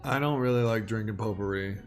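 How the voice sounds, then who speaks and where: A man hosting a casual recovery live stream from home, greeting viewers by name and trading deadpan jokes. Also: on the low side, a little fast, faint music behind.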